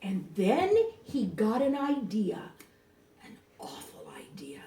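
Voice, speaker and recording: An older woman storyteller giving an expressive, theatrical read-aloud of a rhyming children's storybook, leaning on drawn-out emphasis. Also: mid-range voice, creaky. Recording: echoey room, recorded from a distance.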